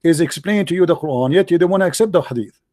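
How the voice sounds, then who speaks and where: Male debate host, native Arabic speaker, combative and mocking, rapid delivery, dark tone, dry studio sound. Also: a little high, no room sound. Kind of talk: heated call-in debate.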